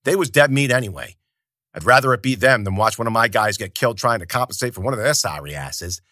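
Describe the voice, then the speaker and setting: A man's voice: mid-range voice, lively intonation, quick pace, sharp tone, dry recording, studio quality. A man voicing a weary, reluctant male soldier leader who speaks in a subdued way.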